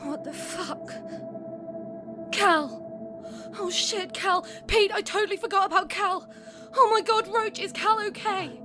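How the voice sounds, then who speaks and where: A woman's voice: very high voice, measured pace, long pauses, music in the background. A woman playing a young, protective and emotional apocalypse survivor in an audio drama, earnest and exclamatory. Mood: panicked.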